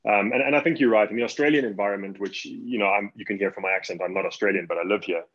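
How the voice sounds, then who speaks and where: Husky-voiced man moderating over muffled video call. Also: lowish voice, fairly bright tone. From Africa. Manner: upbeat, quick follow-up questions. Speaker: panel moderator. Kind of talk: moderating a panel. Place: home office with bookshelf.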